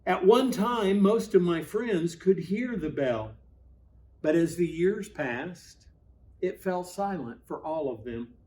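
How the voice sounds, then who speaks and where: Slow-paced man reading a storybook aloud. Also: sits high. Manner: expressive, dramatic pauses. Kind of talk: storybook read-aloud for children.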